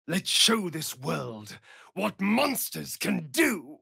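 A man's voice: fairly high pitch, gravelly, breathy edge, no room sound, clean studio sound, full character voice. A man playing a sly, vain, sardonic vampire rogue in a fantasy video game, with an arch, theatrical drawl. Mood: seductive, sly.

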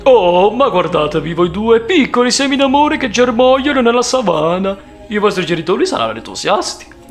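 A man voicing a fussy royal hornbill in an animated film, speaking in a pompous, florid, emphatic way. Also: sits high, normal speed, soft background music. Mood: smug, formal. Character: fussy pompous hornbill majordomo to young lions.

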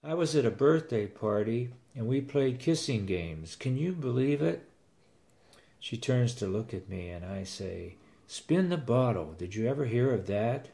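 A man's voice: mid-range voice, animated, unhurried, gravelly, mellow, soft background music. A man in his sixties narrating a first-person story and acting out its dialogue as a grandfather.

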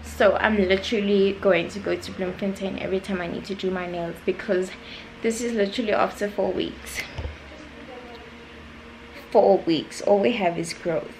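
Young woman, South African accent, mid-pitched, casual vlogger chat, playful, sassy, slangy, noticeable background noise, handheld camera mic. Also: fairly even intonation, normal speed. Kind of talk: casual vlog chat to viewers. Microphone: camera held at arm's length.

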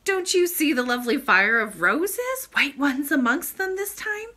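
A woman acting little princess, high bright voice, earnest, emotional, pleading, indignant, storybook character. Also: sing-song, normal speed, full character voice. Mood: insistent, bewildered.

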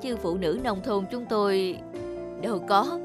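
A woman's voice: mid-range voice, unhurried, natural voice. A woman voicing a humble rural housemaid and nanny in a cartoon, modest, deferential and soothing. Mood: bashful. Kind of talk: animated cartoon dialogue. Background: music.

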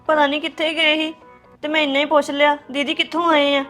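A woman's voice: high voice, level tone, brisk, a bit bright, faint music behind. An actress playing a young wife who complains tearfully that she is blamed for nothing. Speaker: young wife in a family quarrel. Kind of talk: family drama acting.

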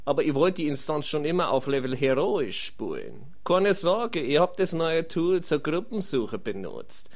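A man's voice: fairly high pitch, very animated, measured pace, hardly any pauses. A man with a Viennese accent voicing a streetwise, grumbling rogue adventurer in a comedy fantasy audio drama. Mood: chatty, keen.

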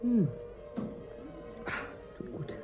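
An actress playing a guarded, weary woman in a radio play, reserved and wry. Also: extremely slow. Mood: calm, reserved. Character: guarded, weary woman holding her own. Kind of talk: German radio play acting. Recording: dull band-limited radio recording. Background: faint music.

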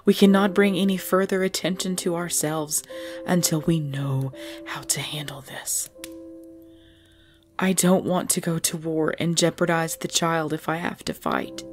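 A woman acting a tender, regal female vampire lover in an intimate ASMR roleplay, speaking softly and hesitantly with breathy whispers. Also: on the low side. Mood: grave, worried. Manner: soft, hesitant, often dropping to breathy whispers. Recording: music bed under the voice.

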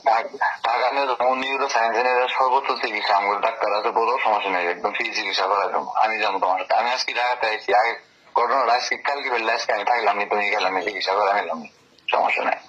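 A man's voice: on the low side, fairly even intonation, rapid-fire, sharp tone, slight background noise. A man talking informally on a recorded phone call, in a domineering, insistent way, often repeating himself. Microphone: telephone.

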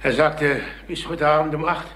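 An actor playing a desperate, middle-aged jobless salesman in a crime radio play, halting and agitated. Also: on the high side, fairly even intonation, natural voice. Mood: distressed. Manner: halting, agitated, repeating himself. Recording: noisy old radio play recording.

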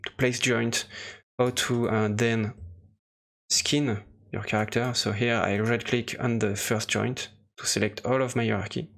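Gravelly-voiced man giving a halting software tutorial. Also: medium pitch. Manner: hesitant, halting explanation. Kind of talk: unscripted screen-recorded tutorial narration.

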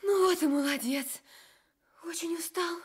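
A sensible little girl in a children's radio play. The voice is high and childlike, earnest and caring as it coaxes. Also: measured pace. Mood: earnest, coaxing. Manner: earnest, coaxing.

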